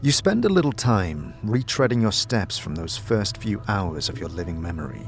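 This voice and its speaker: A man's voice: lowish voice, big pitch swings, normal speed. A man giving dramatic, scripted second-person narration for an audio drama as narrator and game master. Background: music.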